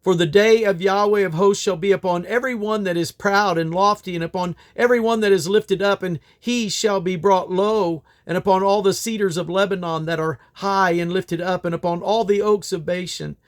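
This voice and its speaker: A man's voice: high-pitched, steady tone, moderate pace, few pauses. A man reading Bible scripture aloud in old King James English, in a solemn, declamatory manner. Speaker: Bible reader.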